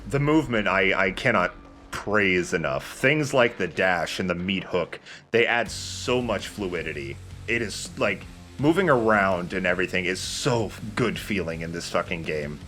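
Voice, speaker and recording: Low-voiced man chatting over game music. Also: animated, a little slow, sharp tone. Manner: sweary, opinionated. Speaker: let's play commentator. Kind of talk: casual video game commentary chat. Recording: background music under the voice.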